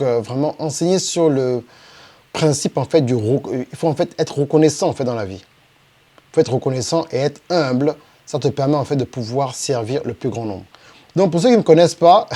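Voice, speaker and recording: A man's voice: mid-range voice, warm tone. A middle-aged man from France, a business coach, giving an unscripted presentation with constant fillers and hesitations. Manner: frequent "en fait" fillers and hesitations.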